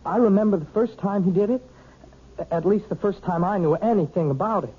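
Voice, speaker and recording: A man's voice: sits high, a little fast, breathy edge. An actor playing an earnest, awkward young man, a piano student who stammers, in a 1970s radio drama. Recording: dull old radio broadcast.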